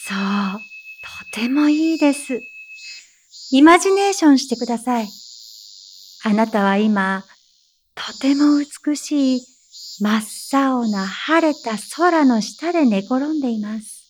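A woman playing a calm meditation teacher in an audio drama, giving measured, gentle instructions and reassurance. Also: high-pitched, slight background noise. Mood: soothing, reassuring. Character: soothing female teacher leading breathing meditation, insistently calm amid menace.

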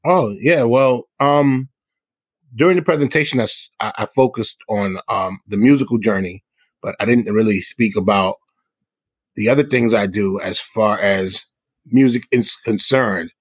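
A man's voice: fairly low pitch, unhurried, no echo. A middle-aged man from the South Bronx, answering interview questions in an earnest, explanatory, conversational way. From South Bronx, New York City. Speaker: hip hop musician and guest.

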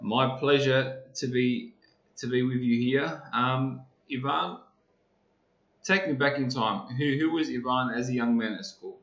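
Man, podcast host, mid-pitched voice, casual, stumbling repeats, roomy echo. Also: measured pace, fairly bright tone, dull audio. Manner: short encouraging reactions and stumbling repeats. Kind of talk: casual interview hosting.

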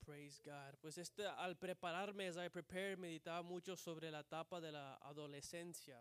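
A man's voice: on the high side, fairly even intonation, normal speed, fairly bright tone, clear, resonant tone, no room sound. A man preaching a sermon at a church service, translating himself between Spanish and English phrase by phrase and often saying amen. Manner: switches between Spanish and English, frequent amens.

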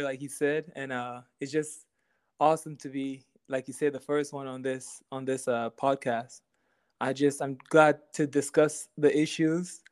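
A young man from Cameroon, a podcast guest, talking in an easygoing, halting way with repeated words. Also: a little high, smooth. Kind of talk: casual interview chat.

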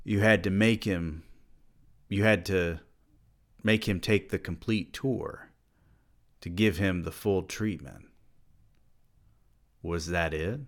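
A man voicing an older male college president who mocks and then shouts in outrage. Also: low-pitched, slow, pauses often, voice pushed down. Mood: mocking.

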